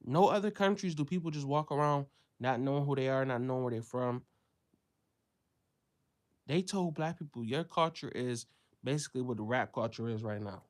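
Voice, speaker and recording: A man from the United States speaking in an unscripted commentary monologue. He rants with plenty of profanity, sinking into low, quiet stretches and then leaping up into loud, high-pitched bursts when he mocks others. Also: moderate pace. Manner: ranting, profane, swings from low mutter to loud exclaiming.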